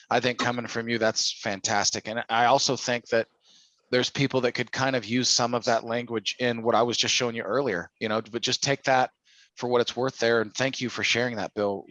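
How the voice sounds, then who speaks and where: A man's voice: mid-range voice, fairly bright tone, no echo, rough recording. Dry-sounding man coaching sales. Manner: persuasive, full of rhetorical questions. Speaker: host of a sales training call. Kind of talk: informal sales coaching talk.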